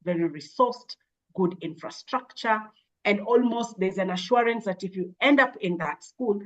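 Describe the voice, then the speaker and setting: Woman explaining in measured tones. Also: mid-range voice, rough recording. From Kenya. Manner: measured, thoughtful, with many hesitations and restarts. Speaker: education expert guest. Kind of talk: explaining in an interview.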